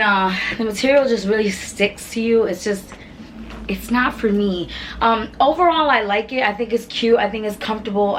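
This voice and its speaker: A woman in her twenties with a naturally deep voice made hoarse by illness, chatting calmly and quietly through a clothing try-on in her bedroom. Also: slight background noise. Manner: calm and quiet, with laughs. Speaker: fashion vlogger. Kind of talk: casual try-on haul chat. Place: bedroom with vanity and mirror.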